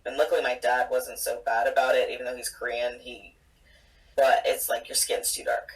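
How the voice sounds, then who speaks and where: A woman's voice: very deep voice, normal speed. Woman guest, 30s mom, blunt anecdotes, set back from the mic. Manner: blunt, animated storytelling. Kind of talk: casual chat. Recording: distant mic.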